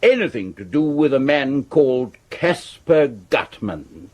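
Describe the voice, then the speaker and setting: An actor playing a brusque, pompous Victorian police commissioner with an upper-class English accent in a radio drama. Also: male voice, mid-range voice, very animated, a little slow, darkish tone. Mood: brisk command. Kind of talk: 1970s radio drama acting.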